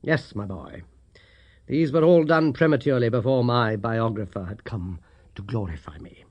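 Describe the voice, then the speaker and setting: A man voicing a brisk, mischievous male detective in an audiobook, speaking in a teasing, lively way. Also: medium pitch, muffled recording, close to an everyday voice.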